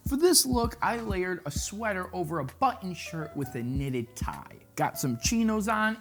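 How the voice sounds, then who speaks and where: A man presenting a casual fashion vlog, chatty and playful, with jokey asides. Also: on the high side. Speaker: fashion vlogger. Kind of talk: casual style-tips vlog.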